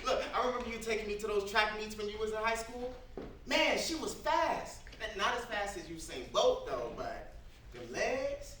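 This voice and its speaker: A man's voice: sits high, expressive, measured pace, full character voice. A man playing a brash, teasing younger brother just out of prison, in loose, playful street talk at a staged script reading. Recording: very echoey room from far off, loud noise.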